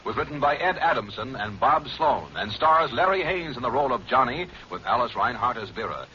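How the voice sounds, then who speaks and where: A man's voice: mid-range voice, expressive, fairly quick, lightly acted. A man announcing a mystery programme in a formal 1940s radio style, on a muffled, noisy old broadcast.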